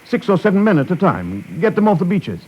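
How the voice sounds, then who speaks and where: An actor playing a gentle, reclusive artist in a 1950s radio play, speaking haltingly and earnestly. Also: male voice, high-pitched, big pitch swings, a little hiss or hum. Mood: earnest resolve. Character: gentle, reclusive crippled artist setting off to war. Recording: dull vintage 1950s radio recording.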